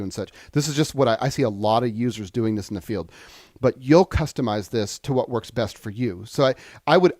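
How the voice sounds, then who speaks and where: A man explaining software in an unscripted tutorial, thinking aloud and halting as he goes. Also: mid-range voice, dry recording, studio quality. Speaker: software trainer. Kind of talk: unscripted screen-share tutorial.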